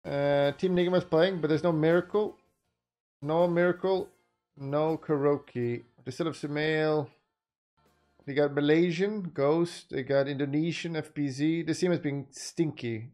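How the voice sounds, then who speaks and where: A man's voice: on the high side, steady tone, measured pace, a little mellow, faint music behind. A man chatting casually on a livestream as he reacts to a broadcast, blunt and exasperated, swearing often. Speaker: live streamer reacting to a tournament broadcast. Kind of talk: casual livestream chat and commentary.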